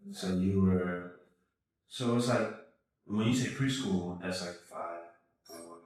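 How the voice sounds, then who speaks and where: A man hosting a podcast, asking relaxed, joking questions. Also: low-pitched, slow pace. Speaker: podcast host. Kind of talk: casual interview. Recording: echoey room, distant mic, faint noise.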